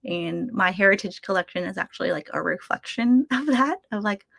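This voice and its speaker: A woman's voice: mid-range voice, animated, a little fast, creaky, a bit bright, no room sound. A Vietnamese American woman speaking as the guest artist in a webinar, talking reflectively with many fillers and restarts, heard over a video call. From United States. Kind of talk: casual webinar talk.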